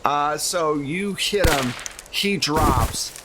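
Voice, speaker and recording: A man's voice: fairly high pitch, extremely slow, heavy background noise. A man narrating a tabletop roleplaying game as game master in a casual, conversational way.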